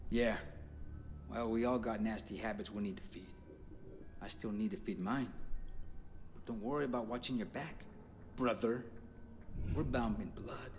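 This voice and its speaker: A man's voice: fairly low pitch, steady tone, normal speed, long pauses, a little hiss or hum, natural voice. An actor playing a hungry, boastful male vampire in a game, talking in a taunting, menacing way. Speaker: game character.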